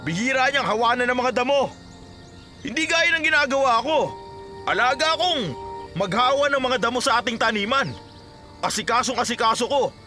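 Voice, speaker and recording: A man playing a proud, indignant, middle-aged farmer father who declaims forcefully in a Filipino radio drama. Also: very high-pitched, animated, normal speed, pauses often, faint music behind, heavily acted. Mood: disdainful.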